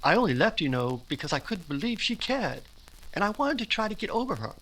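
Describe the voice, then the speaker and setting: A man acting an eager, good-natured young gentleman in love, effusive, in a 1940s-style radio drama. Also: sits high, sing-song, fairly quick, exaggerated voice, low-quality recording. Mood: excited, happy.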